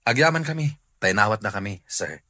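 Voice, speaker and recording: A man acting a steady young job seeker in a radio drama, low-key and matter-of-fact, with an Ilocano accent. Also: fairly quick, no echo, clean studio sound. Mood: grateful.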